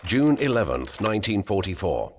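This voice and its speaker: Deep-voiced, grave war documentary narrator. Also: male voice, big pitch swings, darkish tone, big-room sound, slight background noise. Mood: grave, measured. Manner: measured, formal, grave. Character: solemn male war documentary narrator in a video game.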